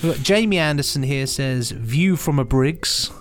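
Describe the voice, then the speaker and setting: A man's voice: medium pitch, expressive, moderate pace. A man of about thirty co-hosting a podcast, teasing his partner and reading listener posts aloud in a playful, casual way. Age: 30s. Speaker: podcast co-host. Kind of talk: casual studio chat.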